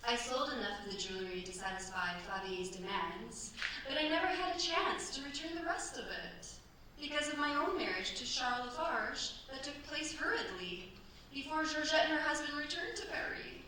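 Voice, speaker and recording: Actress as an echoey, high-voiced accused young wife. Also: female voice, lively intonation, normal speed, bright, forceful tone. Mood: anxious, pleading. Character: accused young wife, distressed, pleading her innocence. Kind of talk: staged radio play acting. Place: staged performance in a cultural centre. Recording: echoey hall, distant mic, stage noise.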